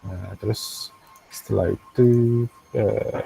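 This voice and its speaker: A man with an Indonesian accent teaching an animation software tutorial, thinking aloud in a halting way with frequent pauses. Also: fairly low pitch, fairly even intonation, over music, poor audio. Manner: halting, pausing often, frequent throat clearing. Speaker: animation instructor.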